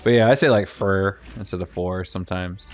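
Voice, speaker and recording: A man's voice: low voice, moderate pace. A middle-aged man with a Virginian accent, chatting casually and rambling while he streams a game. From Virginia, USA. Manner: rambling, self-correcting, thinks aloud. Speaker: gaming streamer. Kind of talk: casual chat while livestreaming gameplay. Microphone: desk mic. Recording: dull, lo-fi stream mic with game music.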